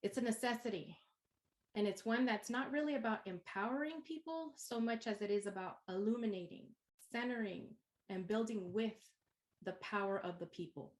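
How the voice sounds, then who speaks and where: A woman's voice: medium pitch, low-quality recording. A Chicana woman from East San Jose, a university instructor whose first language is Spanish, giving a poetic spoken-word welcome address in English laced with Spanish. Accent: Chicana English with Spanish code-switching. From East San Jose, California. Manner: poetic, rhythmic, unhurried phrasing.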